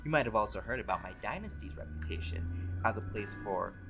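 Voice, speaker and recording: A man playing a laid-back, centuries-old vampire scholar in an audio roleplay, talking in a relaxed, conversational and wryly playful way. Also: sits low, a little fast. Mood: playfully proud. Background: music.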